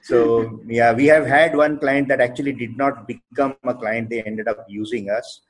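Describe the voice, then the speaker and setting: A man's voice: mid-range voice, fairly even intonation, normal speed, breathy edge, poor audio. Indian-accented male co-founder, hesitant. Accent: Indian English. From Odisha, India. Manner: thoughtful, hesitant, many fillers. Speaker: company co-founder, guest. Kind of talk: interview answers.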